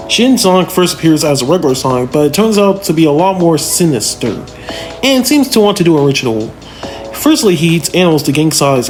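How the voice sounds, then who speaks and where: A man giving informal analytical video commentary, talking straight to viewers in a conversational way with rhetorical questions. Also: on the high side. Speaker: video narrator, fan power-scaling reviewer. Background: background music.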